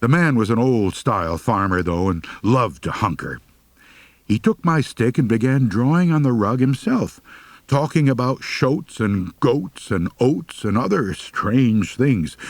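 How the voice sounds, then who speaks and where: A man's voice: medium pitch, very animated, unhurried, no echo. A man narrating a humorous first-person story as an audiobook reader, with a wry, mock-serious delivery.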